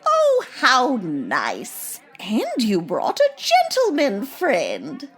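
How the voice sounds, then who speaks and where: Casting: sweet oblivious old granny played by a woman, high, extremely animated, for comic radio play. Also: extremely slow, breathy edge, exaggerated voice. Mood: excited hostess. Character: sweet, chatty, oblivious old grandmother hostess. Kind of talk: old-time radio comedy acting.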